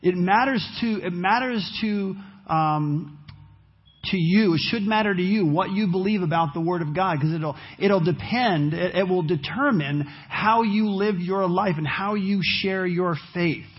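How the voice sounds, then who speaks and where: A man's voice: high-pitched, measured pace, husky, highs cut off. A man preaching a sermon as pastor, losing his voice, talking earnestly and restarting his phrases.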